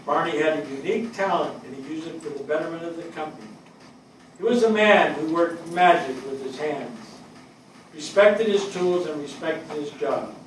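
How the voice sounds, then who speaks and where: An older man acting an earnest fire captain in a stage reading, delivering a measured eulogy for a fallen friend. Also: fairly high pitch, animated, close to an everyday voice. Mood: proud, tender. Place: indoor theatre stage. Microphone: distant camera. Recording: echoey stage, distant mic, faint noise.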